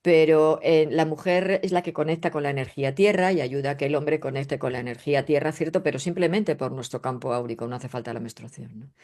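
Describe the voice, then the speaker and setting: A woman with a notably low voice and a Castilian accent from Spain explains things conversationally, as a guest in an interview. She is emphatic and didactic, punctuating her points with short rhetorical questions. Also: quick pace, hardly any pauses, a little mellow. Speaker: guest, astrologer and spiritual researcher.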